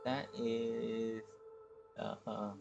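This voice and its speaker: A man's voice: mid-range voice, little pitch movement, drawn-out words, vocal fry, dull audio. A man livestreaming gameplay with casual, reactive commentary, often interjecting and repeating words. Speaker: game livestreamer. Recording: loud game music behind the voice.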